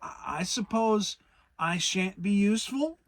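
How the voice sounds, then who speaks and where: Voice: medium pitch, animated, measured pace, slight background noise, close to an everyday voice. A narrator voicing a simple-hearted, humble male toy bear, bumbling and plaintive, in a children's storybook. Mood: sad.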